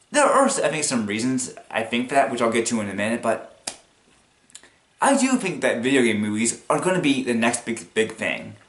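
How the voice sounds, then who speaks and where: A man giving casual, unscripted commentary in a rambling, halting way, as the host of an opinion video. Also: medium pitch, a bit bright. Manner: rambling, halting, chuckles as he talks. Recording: echoey, roomy sound.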